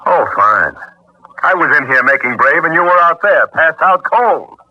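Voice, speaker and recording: An actor playing a wry, hard-boiled private detective in a 1940s radio noir drama, with punchy delivery. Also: male voice, on the high side, animated, normal speed, noisy background, close to an everyday voice. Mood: exasperated. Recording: dull vintage radio recording with music.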